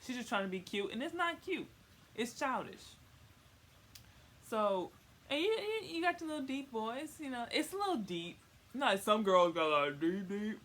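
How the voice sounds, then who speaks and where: A woman's voice: on the high side, lively intonation, normal speed, a bit bright. A young woman giving a casual comic explainer in informal slang, rambling and repeating words for emphasis. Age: young adult. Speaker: vlogger. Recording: faint noise, home recording.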